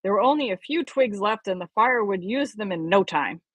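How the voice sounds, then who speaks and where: A woman's voice: mid-range voice, lively intonation, moderate pace, a bit bright, slightly rough, no room sound. A woman reading a story aloud expressively as an amateur audiobook reader.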